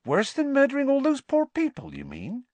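A man's voice: medium pitch, wildly swooping pitch, moderate pace, no room sound, voice pushed up. A man voicing a chatty, curious female pub landlady with a familiar, gossipy manner in a storybook.

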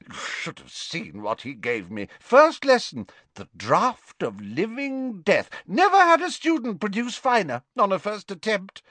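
A hearty, tipsy old teacher holds court, his voice pitched well above the reader's own and flung about in wide swoops. He gushes and exclaims loudly, as a man puts him on, recorded dry and close. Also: wildly swooping pitch, unhurried, few pauses, no echo, heavily acted. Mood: jovial. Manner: hearty, gushing, loud exclamations. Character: tipsy jovial older male professor, booming.

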